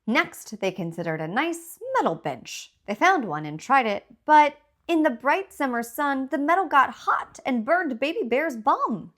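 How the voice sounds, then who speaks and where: A woman narrates a children's storybook in a fairly high voice. Her pitch moves widely as she reads. The phrasing is slow and deliberate, shaped for a read-aloud. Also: sing-song, fairly bright tone. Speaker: children's author reading own book aloud.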